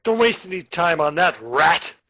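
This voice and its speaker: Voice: mid-range voice, big pitch swings, moderate pace, bright, forceful tone. An actor as a swooping, menacing criminal nephew. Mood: bitter, menacing. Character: menacing criminal nephew, brooding man with altered face. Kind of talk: stage comedy radio acting.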